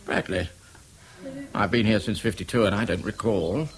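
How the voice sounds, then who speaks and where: An actor playing a middle-aged, caustic, grumbling long-serving British schoolmaster in a 1970s radio drama. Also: male voice, fairly low pitch, normal speed, natural voice. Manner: caustic, complaining.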